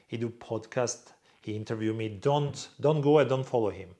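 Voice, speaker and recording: A young man from Croatia speaks in a relaxed vlog Q&A. He answers fans off the cuff, bluntly, and swears freely. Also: mid-range voice, normal speed. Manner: blunt, swears freely, reads viewer questions aloud in a louder voice. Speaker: vlog host answering viewer questions. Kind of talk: casual Q&A monologue.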